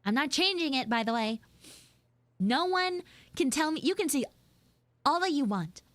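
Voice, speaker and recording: A woman voicing a defensive, flustered tsundere anime schoolgirl who acts mean but is secretly soft, in an improvised livestream roleplay. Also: sits high, lively intonation, dry recording. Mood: indignant. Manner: defensive, pouty, halting and repetitive. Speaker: livestreamer and VTuber voice actress. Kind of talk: improvised live audio roleplay with chat asides.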